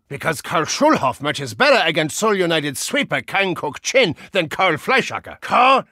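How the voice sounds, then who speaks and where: Bombastic German hooligan, wildly animated. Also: male voice, high voice, no echo, clean studio sound, heavily acted. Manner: bombastic, comically theatrical. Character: boisterous German soccer-hooligan brawler with a soft side. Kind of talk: animated video game character lines.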